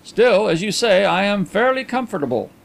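A suave, self-assured gentleman, as a male narrator plays him, with a raised, lilting pitch. He speaks with tranquil courtesy and easy good humour, in the manner of a storybook character. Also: animated, normal speed, gravelly. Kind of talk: audiobook character acting.

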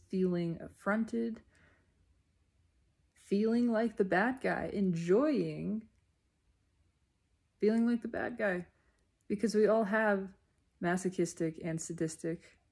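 A woman giving a reflective spiritual monologue in a deliberate manner, pausing mid-sentence and speaking in short phrases. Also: on the low side, darkish tone. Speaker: spiritual self-reflection vlogger.

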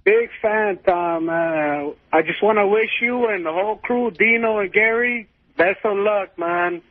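A man's voice: sits high, measured pace, no echo. A man calling in to a radio show, casual and enthusiastic as a fan. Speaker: caller. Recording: tinny phone line on radio.

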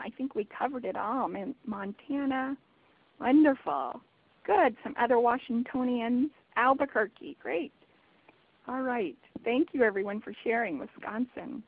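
A woman hosts a webinar in an upbeat, enthusiastic way. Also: fairly high pitch. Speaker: webinar host. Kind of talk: hosting a webinar. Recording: tinny phone line.

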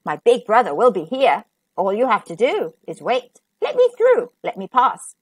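A woman doing a calm goat brother. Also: a little high, normal speed, husky, no room sound, exaggerated voice. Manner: calm and steady.

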